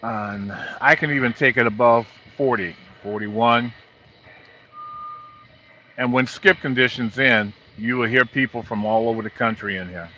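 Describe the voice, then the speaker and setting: Middle-aged or older man, forceful bright tone, halting explanations, informal trucker vlog, muffled lo-fi sound. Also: mid-range voice, slight background noise. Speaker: truck driver vlogger. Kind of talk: informal hands-on gear walkthrough. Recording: dull lo-fi audio.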